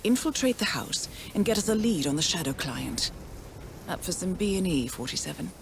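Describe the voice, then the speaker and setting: A woman playing a composed, shrewd assassin's handler in a video game, crisp, controlled and faintly wry. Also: lowish voice, sing-song, moderate pace, noisy background. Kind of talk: video game cutscene acting.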